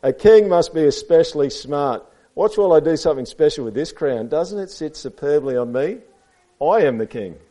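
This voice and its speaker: A man's voice: fairly high pitch, expressive, moderate pace, mellow, voice pushed up, lightly acted. A man voicing a smug, scornful, show-off snake in a storybook with a hissing manner.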